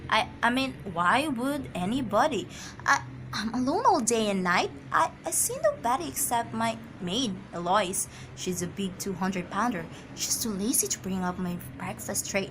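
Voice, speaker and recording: A woman plays a fretful, imperious bedridden wife in an amateur radio drama. Her high voice swoops with agitated, insistent drama over clearly audible background noise. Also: lightly acted. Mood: fretful, defensive. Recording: noisy amateur home recording.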